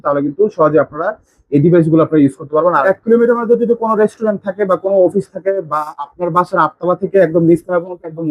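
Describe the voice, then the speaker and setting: Very fast-talking Bangladeshi man, slightly high voice. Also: no echo, dull audio. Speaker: shop presenter demonstrating products. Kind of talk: informal sales demonstration.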